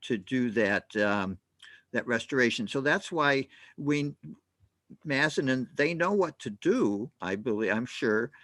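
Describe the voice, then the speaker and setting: A man moderating a talk, speaking in a halting, hesitant way with many restarts. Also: mid-range voice, animated, fairly bright tone, no echo, clean studio sound. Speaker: host.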